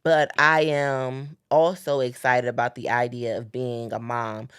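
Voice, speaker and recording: A woman's voice: extremely low pitch, little pitch movement, a little slow, a bit bright, clean tone, no echo, clean studio sound. A young woman hosting a podcast, talking earnestly about faith and dreams and repeating phrases for emphasis. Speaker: podcast host. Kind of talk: casual faith-based chat.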